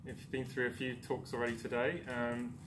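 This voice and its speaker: A man's voice: medium pitch, monotone, normal speed. Man talking in an echoey lecture room. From Newcastle, England. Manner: chatty, self-deprecating, many ums and restarts. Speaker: conference speaker. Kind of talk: presentation talk. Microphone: far camera. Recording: echoey room with background noise.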